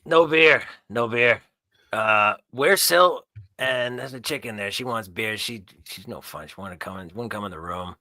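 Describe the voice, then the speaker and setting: A man's voice: on the low side, normal speed, pushed and bright, no room sound, clean studio sound, pitched above the performer's own voice. A man voicing an eager, crude, slovenly biker who stammers and repeats himself in a tabletop vampire game. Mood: rowdy. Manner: repetitive, stammering, over-eager.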